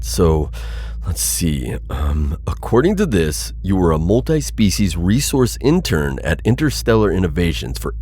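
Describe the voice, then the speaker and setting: A man acts out a principled, wary alien bounty hunter in an intimate audio roleplay. His voice is lowish and husky, with lively swings in pitch. He talks in a hesitant, thoughtful, rather formal way. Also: exaggerated voice. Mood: businesslike. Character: persistent insectoid alien bounty hunter, male, cautious but principled. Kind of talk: ASMR audio roleplay addressed to listener.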